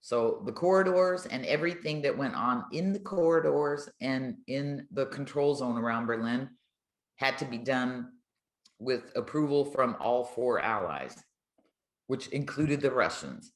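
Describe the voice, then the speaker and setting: Older woman, deep-pitched voice, chatty interview storytelling, colloquial, echoing room. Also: expressive, a little slow. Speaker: retired air traffic controller, podcast guest.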